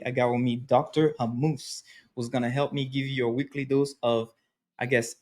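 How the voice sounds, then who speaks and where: A man in his thirties hosts a podcast in a loose, chatty way. He talks casually with his guest and laughs easily. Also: medium pitch. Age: 30s. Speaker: podcast host.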